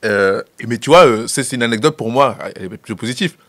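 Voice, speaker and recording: A young man with a Central African French accent, talking as a guest in a casual interview. His pitch sits in a middling range, and he laughs often and repeats words as he tells his stories. The sound is dry and close, with no room around it. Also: fast, a bit bright, dry recording. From Central African Republic. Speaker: film director, guest.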